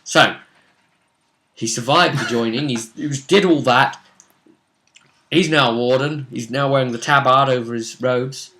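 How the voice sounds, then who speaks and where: A man with a crisp, bright edge to his voice hosts a tabletop recap. He talks casually as game master, telling the story in pieces and keeping some suspense. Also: mid-range voice, a little slow, long pauses. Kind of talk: casual recap of a tabletop role-playing session.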